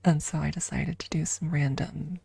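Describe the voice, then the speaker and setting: A woman speaking softly and often in a whisper, telling a personal story as an ASMR streamer, close to the microphone. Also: sits low, steady tone, normal speed, creaky, breathy edge. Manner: hushed, often slipping into whisper. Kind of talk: soft-spoken ASMR storytelling.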